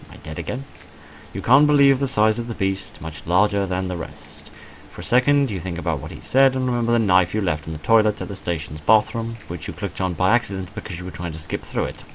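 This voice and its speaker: A low-pitched man narrates a text-based game, switching between reading aloud and offhand commentary. His asides are wry and self-deprecating. The recording carries noticeable background noise. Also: lively intonation, fairly quick, low-quality recording. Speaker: gaming video narrator reading a visual novel aloud.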